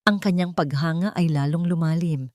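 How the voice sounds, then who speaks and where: A woman's voice: sits low, moderate pace, warm tone, no room sound, studio quality. A woman reading a scripted, dramatic romance story as its narrator.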